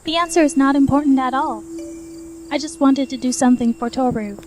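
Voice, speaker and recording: A woman playing an eerie, calm teenage girl who speaks in a measured deadpan in a radio drama. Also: high-pitched, a little hiss or hum, lightly acted. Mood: calm, cryptic. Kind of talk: amateur anime-style radio drama acting.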